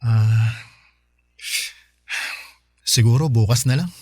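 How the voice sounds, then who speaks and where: An actor playing an apologetic, questioning young boyfriend in a radio drama, with a Filipino accent. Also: male voice, medium pitch, slow pace, a little mellow, husky, no room sound, clean studio sound. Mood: hesitant. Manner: questioning, placating. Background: faint music.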